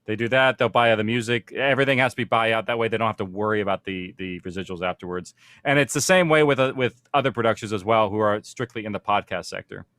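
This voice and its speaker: A man's voice: on the low side, fast talker, fairly bright tone, dry recording. A man speaking informally as a panel host, explaining things in a conversational way. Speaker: panel host, audio production producer.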